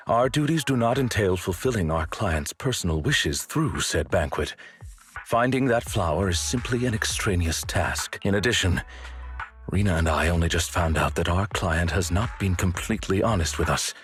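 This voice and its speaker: A man playing a courteous, composed game butler who speaks in a formal, measured and polite way. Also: low voice, lively intonation, a bit bright, soft background music. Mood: earnest, formal. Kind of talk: scripted video game voice acting.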